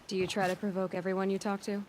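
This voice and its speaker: An actress voicing a direct, self-assured young huntress in a video game. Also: female voice, medium pitch, little pitch movement, fast talker, close to an everyday voice. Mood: direct, wry. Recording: clear background ambience noise.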